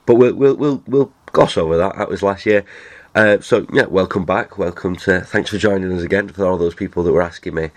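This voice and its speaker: A man with a very deep voice hosts a casual podcast. His voice is nasal from a cold. He rambles and restarts his sentences. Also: fairly quick. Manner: rambling, chatty, many restarts. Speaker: podcast host. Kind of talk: casual chat.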